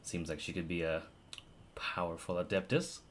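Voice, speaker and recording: Deep-voiced man casually streaming a game. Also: steady tone, moderate pace, a bit bright, slight background noise. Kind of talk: casual gameplay commentary.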